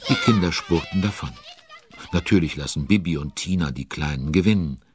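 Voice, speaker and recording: A man narrating a children's audio drama as a chatty, involved storyteller with conspiratorial asides and a husky voice. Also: sits low, fairly quick, very noisy, lightly acted. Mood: involved, storytelling.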